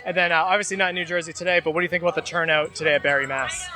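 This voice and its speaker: Upbeat host, quick talker, high bright voice, outdoor. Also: male voice, fairly even intonation, faint music behind. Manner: fast, upbeat, affirming. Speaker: podcast host interviewer. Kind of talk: finish line interviews. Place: outdoor race event.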